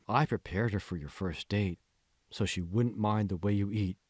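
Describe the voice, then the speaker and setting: Man voicing a smug, low, measured AI assistant. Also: darkish tone, no echo, clean studio sound, natural voice. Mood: condescending. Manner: slow, condescending, coolly controlling. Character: smug, manipulative AI assistant speaking from a phone. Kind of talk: audiobook character voice.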